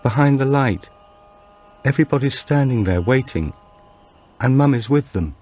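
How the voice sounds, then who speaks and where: Small sleepy girl, male reader, lifted pitch, plaintive and eerily calm, audiobook thriller. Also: moderate pace, natural voice. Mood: eerie, trance-like.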